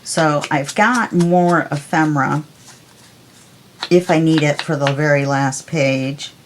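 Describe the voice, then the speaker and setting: A woman hosting a crafting tutorial, chatting calmly and unhurriedly as she works. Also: deep voice, a little hiss or hum. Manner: calm, unhurried, talks while working. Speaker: crafting video host. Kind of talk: casual crafting tutorial chat.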